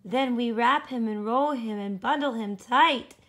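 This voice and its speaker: A woman's voice: a little high, unhurried, fairly bright tone, voice pushed up. A woman voicing a playful storybook goddess in a ceremonious singsong. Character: playful storybook goddesses preparing a mummy, female.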